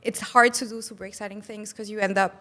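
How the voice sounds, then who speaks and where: A woman's voice: mid-range voice, fairly even intonation, quick pace, sharp tone. A woman from Luxembourg with a French accent, chatting casually as an interview guest on a video call, with filler words and restarts. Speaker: interview guest, company executive.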